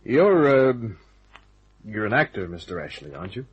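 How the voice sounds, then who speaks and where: An actor playing a wry, cocky private detective, narrating and talking in a dry, laconic old-time radio style. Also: male voice, fairly low pitch, big pitch swings, normal speed, highs cut off, slight background noise. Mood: dry, probing.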